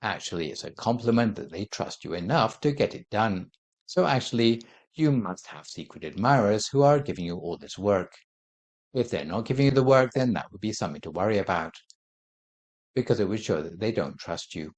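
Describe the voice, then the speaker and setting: A Buddhist monk giving a calm dharma talk, explaining his points in a measured, gentle way. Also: lowish voice. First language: not Thai.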